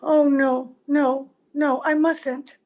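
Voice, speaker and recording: A woman's voice: sits high, level tone, drawn-out words, darkish tone, muffled recording. A woman playing a strange, weary, evasive young woman in an old-time radio drama. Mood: hesitant, yearning. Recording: home video-call audio, music behind.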